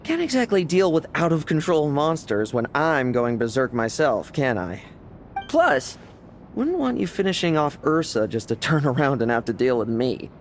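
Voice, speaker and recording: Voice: mid-range voice, very animated, normal speed, slight background noise. An actor playing a cocky, wry young knight in a video game, with a sardonic, nonchalant delivery. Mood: wry, casual. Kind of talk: dramatic game voice acting.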